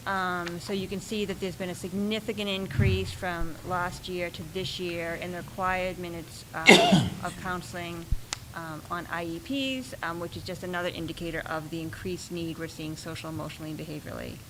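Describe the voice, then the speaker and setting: A soft-spoken woman, a school principal, explaining schedules and budget requests at a meeting, with frequent pauses and ums. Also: fairly low pitch, steady tone, background noise. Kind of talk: presenting at a school board meeting.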